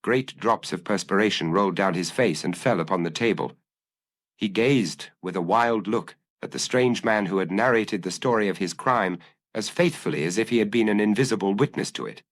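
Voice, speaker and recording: A man's voice: lowish voice, normal speed. A man reading a classic detective story aloud as scripted audiobook narration.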